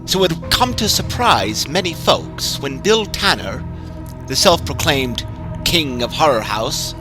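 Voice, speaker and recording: A man's voice: fairly high pitch, sing-song, a little slow, poor audio. Man narrating a horror story over music. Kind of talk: dramatic horror story reading.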